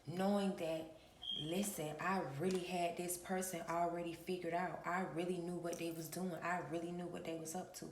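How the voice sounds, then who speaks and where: A woman hosting her own channel, talking in a relaxed early-morning vlog with a raspy voice and a preachy manner, often clearing her throat. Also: on the low side, moderate pace, reverberant, noisy background. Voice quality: gravelly. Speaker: spiritual reader and channel host.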